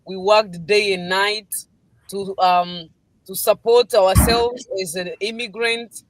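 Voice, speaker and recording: A woman's voice: medium pitch, fairly even intonation, takes it slowly, no echo, low-quality recording. Woman, dry close sound. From Congo. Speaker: guest business partner. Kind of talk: live stream introduction.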